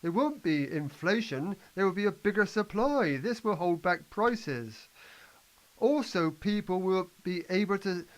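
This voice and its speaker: A man's voice: high-pitched, animated, unhurried, poor audio. A man in an amateur play playing a confident, impatient economic reform advocate, lecturing emphatically and stumbling slightly over lines. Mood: assured. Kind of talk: amateur scripted play reading.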